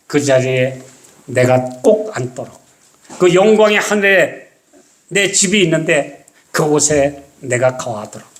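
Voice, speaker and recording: A man preaching with a voice pitched a little above the usual male range. He delivers his sermon as a pastor, leaning into his words and stretching out phrases for emphasis. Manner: emphatic storytelling with dramatic slow stresses.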